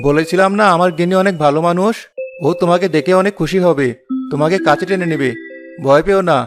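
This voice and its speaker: A kind, weary village woodcutter acted by a man for a children's cartoon. He speaks quickly in a high voice, with music clearly behind him. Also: close to an everyday voice. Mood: kind, concerned.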